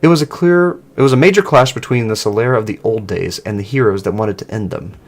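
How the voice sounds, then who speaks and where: A man voicing an earnest informant in online horror fiction, recounting old rumors in a measured way. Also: fairly low pitch.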